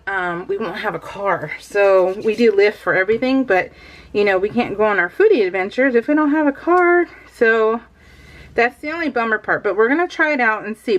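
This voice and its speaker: A woman's voice: mid-range voice, low-quality recording. A woman in her forties chatting casually while crafting, giggly and rambling, with frequent restarts and laughs. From California. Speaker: scrapbooking vlogger.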